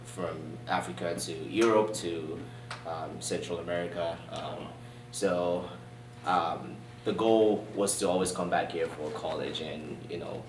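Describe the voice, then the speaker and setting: Low-voiced man with a mixed accent, roomy gym. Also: fairly even intonation, measured pace, background noise. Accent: mixed Nigerian and British. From born in Boston, raised in Nigeria. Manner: easygoing storyteller, many fillers and soft laughs. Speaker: gym owner, guest. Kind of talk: casual interview. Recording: roomy distant sound in a gym.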